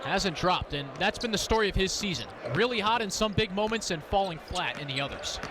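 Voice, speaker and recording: A man with a high-pitched voice provides color commentary on a live basketball radio broadcast. His delivery is analytical and built around statistics. Loud gym noise and ball bounces are clearly heard behind him. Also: lively intonation, a little fast. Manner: analytical, stat-driven. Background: gym ambience, bouncing basketballs.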